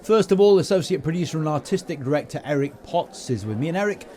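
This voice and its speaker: A man's voice: a little high, expressive, fast, darkish tone. A British man hosting a podcast, presenting and interviewing guests in a conversational way. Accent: British English. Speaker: podcast host. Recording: busy crowd chatter behind. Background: chatter of people at a venue.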